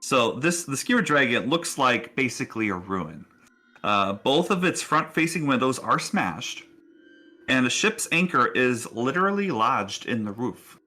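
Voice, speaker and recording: A man narrating a tabletop game as game master, casual and halting, often rephrasing as he describes scenes. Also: medium pitch, big pitch swings, bright, forceful tone.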